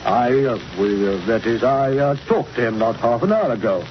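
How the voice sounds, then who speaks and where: An actor playing a pompous, irritable Member of Parliament, sputtering indignantly in a 1940s radio drama. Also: male voice, mid-range voice, expressive, normal speed, lightly acted. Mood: flustered. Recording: dull, hissy old radio recording.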